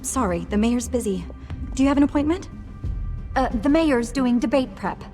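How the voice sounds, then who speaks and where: Actress as a receptionist woman, slightly high voice, polite, officious, music behind, game drama. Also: lively intonation, normal speed, mellow, lightly acted. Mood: dismissive. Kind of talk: dramatic video game acting.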